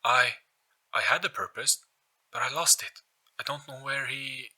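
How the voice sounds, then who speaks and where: A man voicing a melancholy, forgetful ghost in an audio story, husky, with a theatrical, halting delivery. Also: medium pitch, bright, forceful tone, voice pushed up. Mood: melancholy, unsure. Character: melancholy, forgetful male ghost living in a gramophone.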